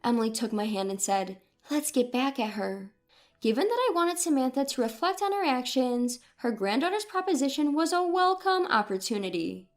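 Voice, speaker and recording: A woman's voice: sits high, sing-song, brisk, hardly any pauses. A woman narrator reading a scripted first-person story.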